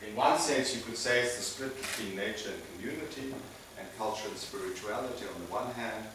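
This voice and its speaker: A man from Zimbabwe giving a public lecture as guest speaker, lively, jumping between loud bursts and quiet asides. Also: lowish voice, brisk. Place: large lecture hall. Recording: echoey lecture hall, mic far off, background noise.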